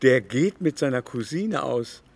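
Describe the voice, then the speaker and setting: An actor playing a teasing, gossipy society gentleman in a literary radio drama, with an arch, mannered, exclaiming delivery. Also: medium pitch, expressive, a little slow, gravelly, husky, dry recording, full character voice. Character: teasing, gossipy, affected male society dandy.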